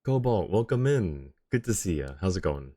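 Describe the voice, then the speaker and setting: Male streamer, very deep voice, dry close sound, casual chat with joking asides, music behind. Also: very animated, normal speed, mellow, no echo. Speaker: livestream host. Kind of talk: casual chat reacting to a game. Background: game music.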